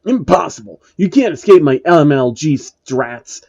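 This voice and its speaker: Man doing a bombastic high-strained giant monster boss. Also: expressive, measured pace, creaky, highs cut off, full character voice. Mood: raging, boastful. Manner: loud, hammy, strained shouting.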